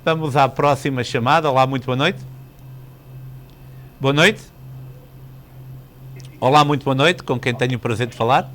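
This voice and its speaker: A man's voice: mid-range voice, normal speed, long pauses, fairly bright tone, slight background noise. A man with a European Portuguese accent hosting a live phone-in show, courteous and chatty, dropping in the odd English word. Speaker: call-in show host.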